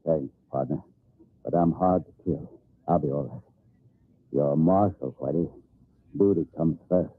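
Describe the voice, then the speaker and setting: A man's voice: unhurried, long pauses, no echo, full character voice. An actor playing a tough, stubborn, wounded western lawman in an old-time radio drama. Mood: gruff, resolute. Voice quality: deep, gravelly drawl. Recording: muffled, narrow-band old radio recording.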